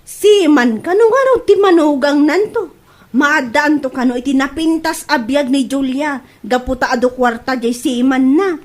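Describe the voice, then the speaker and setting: A woman's voice: sits high, moderate pace, lightly acted. A woman playing an indignant, defensive young daughter in an Ilocano comedy drama, complaining emphatically with an Ilocano accent. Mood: aggrieved.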